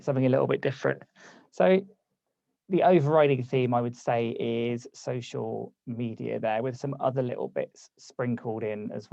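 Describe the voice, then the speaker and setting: A man's voice: mid-range voice, vocal fry, dark tone, dry recording, highs cut off. A man hosting a live online workshop over a video call, casual and conversational, losing his voice. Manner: casual, conversational, reads chat aloud. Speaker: workshop host.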